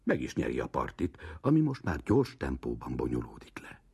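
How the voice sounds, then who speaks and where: A man's voice: a bass voice, wildly swooping pitch, vocal fry, mellow, husky. A man giving a dramatic literary reading in a theatrical, ironic manner.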